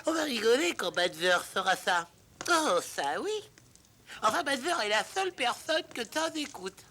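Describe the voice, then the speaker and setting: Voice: mid-range voice, very animated, unhurried, pushed and bright, a little hiss or hum, full character voice. An actor voicing a genteel, weary and chatty water rat in a cartoon dub, muttering and fussily protective. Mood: chatty, disapproving.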